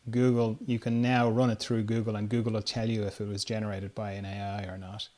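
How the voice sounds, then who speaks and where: A man hosting a podcast in casual conversation, rambling and halting as he thinks aloud. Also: on the low side, little pitch movement, a little mellow. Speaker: podcast host. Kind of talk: casual conversation, reading listener questions.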